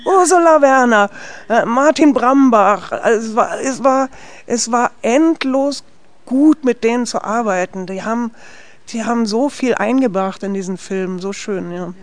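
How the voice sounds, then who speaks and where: A woman from West Berlin talks through her work as a film director in a relaxed interview. Her speech is chatty and full of restarts. She keeps tagging a quick "ne?" onto her sentences. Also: medium pitch. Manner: lively, tags sentences with "ne?", frequent restarts. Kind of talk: conversational interview answers.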